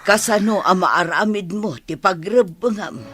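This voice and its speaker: An actress with a Filipino accent playing an older village mother in a radio drama, caring and anxious about her family, with a husky voice. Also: medium pitch, unhurried, natural voice. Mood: worried. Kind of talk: Ilocano radio comedy drama acting.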